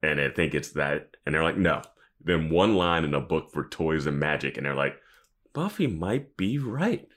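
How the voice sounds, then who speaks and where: A man co-hosting a podcast in casual recap chat, animated and incredulous, often acting out imagined lines. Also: extremely low pitch, moderate pace. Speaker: podcast co-host.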